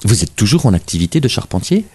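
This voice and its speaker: A man's voice: fairly low pitch, very animated, fast, darkish tone, slight background noise. A man hosting a literary radio programme, interviewing a guest with probing questions and some fillers. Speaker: radio host.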